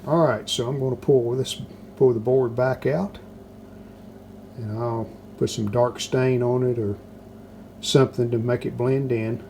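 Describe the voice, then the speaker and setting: A man's voice: mid-range voice, fairly even intonation, a little slow, darkish tone. A man casually narrating his hands-on repair work step by step, plain-spoken and matter-of-fact. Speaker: restoration video host. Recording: clearly noticeable background noise.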